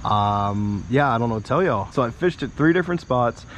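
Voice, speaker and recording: American man, thirties, mid-pitched voice, laid-back folksy vlog chat, faint outdoor insect noise. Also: expressive, brisk, poor audio. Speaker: fishing vlogger. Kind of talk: casual vlog chat while fishing. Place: outdoors by a small wooded creek.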